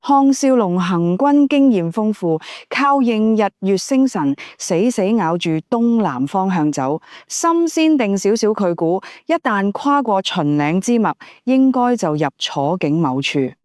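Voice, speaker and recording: A woman's voice: mid-range voice, lively intonation, a little slow, few pauses, darkish tone, no echo, studio quality. A woman narrating a novel from a script in Cantonese, as an audiobook storyteller.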